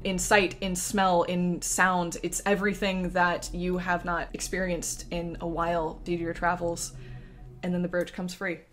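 A woman with a fairly low voice acts as game master for a tabletop fantasy game. She describes scenes evocatively, in measured short phrases, with faint music underneath. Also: little pitch movement. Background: background music.

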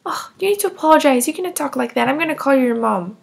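A woman plays a kindergarten teacher in a comedy skit, firm and scolding. Her voice stays high with a gravelly fry. She lays down the rules sternly. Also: expressive, fast talker, exaggerated voice. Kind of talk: comedy skit role-play.

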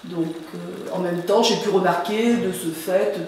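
A middle-aged woman from French Guiana giving an informal interview about her gallery, speaking hesitantly with long pauses as she searches for words. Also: fairly low pitch, a little mellow. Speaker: artist and gallery owner being interviewed. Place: indoor art gallery with hard floor. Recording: very echoey room, distant mic, faint noise.

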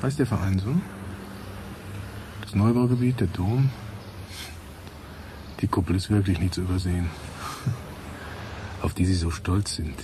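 An actor in a radio play voicing a casual visitor who muses in short, fragmentary remarks. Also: male voice, deep voice, fairly even intonation, a little slow, long pauses, noisy background. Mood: musing. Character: male visitor remarking casually on modern Florence sights.

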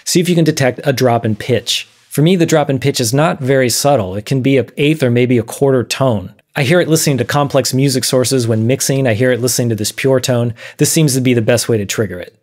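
A man in his thirties presenting an explanatory video lesson in a measured, methodical way. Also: mid-range voice. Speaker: presenter of an audio science series. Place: small dim room, indoors.

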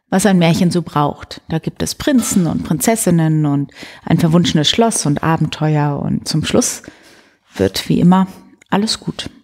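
A woman in her forties hosting a bedtime show, guiding the listener gently and soothingly with a soft, breathy voice. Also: on the low side. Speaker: host of a bedtime story show. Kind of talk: guided sleep meditation, soft bedtime storytelling. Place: indoor room beside a wood stove. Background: soft music.

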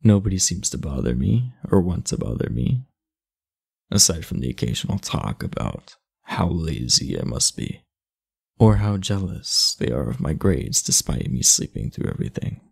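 Casting: man as aloof high school bad boy for ASMR roleplay, very gravelly low voice, blunt yet confiding. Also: very animated, moderate pace, darkish tone, exaggerated voice. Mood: frank, confiding. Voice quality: gravelly, husky. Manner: laid-back, blunt, confiding. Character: aloof, muscular, blunt teenage class delinquent, secretly earnest. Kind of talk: intimate ASMR roleplay acting.

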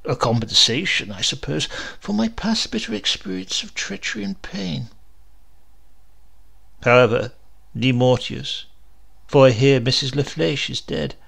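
A man voicing a troubled, precise English gentleman in clipped diary entries for a horror story. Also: medium pitch, animated, unhurried, creaky, pauses often, husky, full character voice. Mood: brooding. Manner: clipped, telegraphic diary phrasing.

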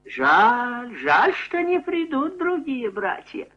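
A man's voice: very high voice, very animated, slow, sharp tone, pitched above the performer's own voice, heavily acted. A man voicing a poor, sly, eager cobbler in a puppet cartoon, exclamatory and singsong. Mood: gleeful. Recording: dull old film soundtrack.

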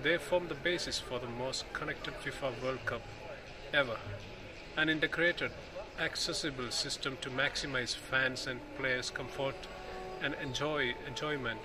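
A man filming in an indoor exhibition hall, reading the display panels aloud slowly, pausing between phrases and restarting when he slips. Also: medium pitch, steady tone, noisy background. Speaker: video host touring an exhibition. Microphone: handheld phone camera. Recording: loud music in the hall. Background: music playing.

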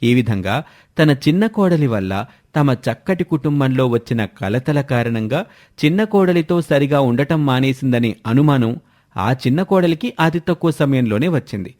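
A man's voice: mid-range voice, expressive, moderate pace, a little mellow. Male narrator for a family moral tale, mid-range voice, scripted storytelling delivery.

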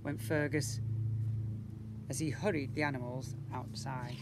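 A man, reading a children's storybook aloud outdoors in an expressive, deliberate way with drawn-out words. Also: mid-range voice. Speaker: school teacher reading to young children. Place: outdoors in a school garden. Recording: outdoor recording with machinery noise.

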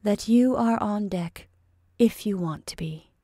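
A woman voicing a shy, gentle middle-aged woman who speaks hesitantly and softly. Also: medium pitch, measured pace, dry recording, studio quality. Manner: hesitant, gentle, sometimes breathy.

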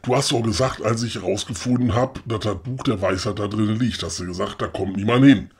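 An actor playing a grumpy, inquisitive old puppet maker in a comic audio play, colloquial and questioning, with a husky voice. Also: mid-range voice, fairly quick, a little mellow. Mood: puzzled. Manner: colloquial, grumbling, questioning with tag "ne". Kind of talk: comedic audio play dialogue.

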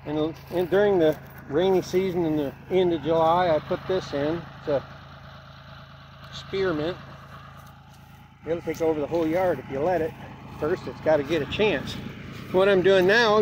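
A man's voice: on the high side, background noise. Folksy man walking his dry garden. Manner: plain-spoken, unhurried, folksy. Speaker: homestead vlogger. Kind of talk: casual walk-through narration. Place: outdoors in a dry farm garden. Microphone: handheld camera carried while walking.

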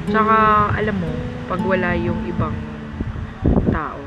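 A young Filipino woman chatting outdoors at night beside her partner, soft-spoken and chiming in, close to a handheld camera. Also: lowish voice, unhurried, lo-fi sound, very noisy. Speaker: vlogger's partner. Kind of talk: casual chat. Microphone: camera held close in selfie framing.

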